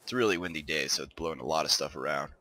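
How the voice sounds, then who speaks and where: A man's voice: extremely low pitch, very fast. A man casually commenting while he plays and builds in a game, sounding a little congested and stuffed-up. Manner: relaxed, chatty, talks to himself while building. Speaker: gaming video host.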